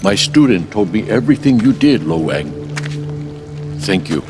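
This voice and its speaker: Grave, deep-voiced older teacher. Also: male voice, very animated, normal speed, music in the background. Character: grave, formal older male martial arts teacher. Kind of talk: video game cutscene voice acting.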